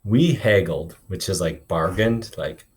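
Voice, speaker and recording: A man with a fairly low voice reads aloud in the role of a teacher. He narrates expressively and slips into informal, instructive asides to explain things. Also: expressive, measured pace. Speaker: teacher reading aloud to a class.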